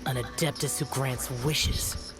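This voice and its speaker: A man's voice: mid-range voice, fairly even intonation, measured pace, close to an everyday voice. Guy voicing stern young immortal warrior in a game, formal n aloof, music playing behind. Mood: scornful. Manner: formal, terse, disdainful. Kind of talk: video game voice acting.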